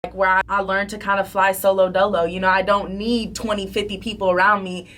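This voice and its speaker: A woman's voice: medium pitch, little pitch movement, brisk, a bit bright. A woman in her twenties hosting a podcast, leading a casual interview in a chatty, slangy way and swearing freely. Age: 20s. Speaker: podcast host. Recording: voice a bit distant from the mic.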